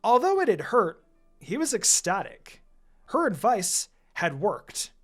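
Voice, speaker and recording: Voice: medium pitch, huge pitch swings, measured pace. A narrator reading a scripted fan fiction story aloud. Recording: music behind the voice.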